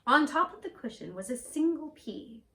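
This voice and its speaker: A woman in costume reading a fairy tale aloud in a gentle, expressive storytelling voice. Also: high voice, moderate pace. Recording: roomy echo, mic at a distance.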